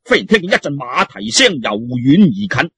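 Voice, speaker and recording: Male Cantonese storyteller, very raspy, higher-set voice, colloquial audiobook delivery. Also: very animated, normal speed, fairly bright tone, no room sound. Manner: colloquial, interjecting, addresses listeners. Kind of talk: animated Cantonese audiobook storytelling of martial arts fiction.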